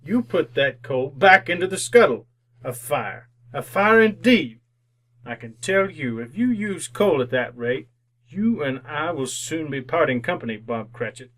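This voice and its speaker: Man acting crotchety, scornful old miser, higher-pitched voice. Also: lively intonation, a little slow, fairly bright tone. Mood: scornful, scolding. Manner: curt, scornful, barking. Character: miserly, cold-hearted, crotchety older businessman and boss. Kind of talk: old-time radio drama acting.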